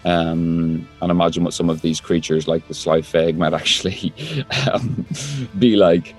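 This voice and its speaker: A man's voice: deep voice, little pitch movement, unhurried, faint music behind. A man, an actor, talking casually and hesitantly in an interview, searching for his words.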